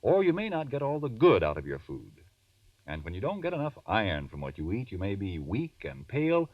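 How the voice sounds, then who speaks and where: A man's voice: fairly low pitch, wildly swooping pitch, a little fast, no echo. A man announcing in a formal, emphatic 1940s radio style, with earnest scripted salesmanship. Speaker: radio announcer and sponsor pitchman. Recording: muffled, distant vintage radio recording.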